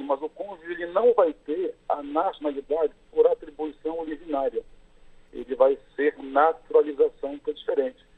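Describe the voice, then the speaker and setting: A man's voice: a little high, slightly rough. Brazilian man on a tinny phone line. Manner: measured, explains step by step with fillers. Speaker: lawyer, interviewed guest. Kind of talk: explanatory interview answers.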